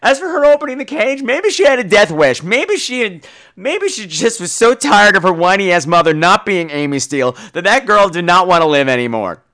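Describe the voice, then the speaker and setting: A man hosting a podcast, chatting casually about films in an animated, theatrical, wisecracking way. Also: high voice, moderate pace. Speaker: podcast host. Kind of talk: casual film chat responding to a listener call.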